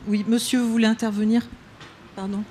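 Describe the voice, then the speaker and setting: Flat-voiced woman moderator. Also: on the high side, normal speed, slight background noise. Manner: brief, polite prompting.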